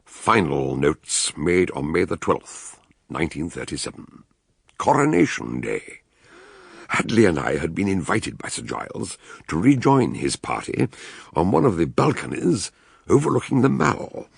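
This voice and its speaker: Eccentric old british detective, deep gravelly voice, swoops about, radio play narration. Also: male voice, big pitch swings, measured pace, dry recording, clean studio sound, heavily acted. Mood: grave narrating. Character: eccentric, brilliant detective doctor, narrating his case. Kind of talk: radio drama acting and narration.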